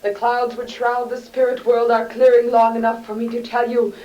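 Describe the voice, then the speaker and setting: Woman acting a high-voiced mystical fortune-teller. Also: level tone, normal speed, dark tone, lightly acted. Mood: portentous. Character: theatrical woman fortune-teller proclaiming from spirit world. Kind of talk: 1950s radio sitcom acting. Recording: dull, muffled old radio recording.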